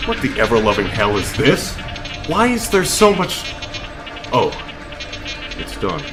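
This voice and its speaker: A man's voice: mid-range voice, low-quality recording. A man playing a bitter electronics repairman villain in an audio drama, who puts on folksy politeness over arrogant contempt. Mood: bitter, contemptuous, then smug, sinister. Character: bitter male tech repairman villain, falsely chipper with customers.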